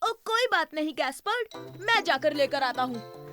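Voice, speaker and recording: A performer in a children's cartoon dub playing an eager, confident little boy rabbit with expressive delivery. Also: high voice, moderate pace, faint music behind. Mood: excited, helpful. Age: child character. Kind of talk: Hindi children's cartoon dubbing.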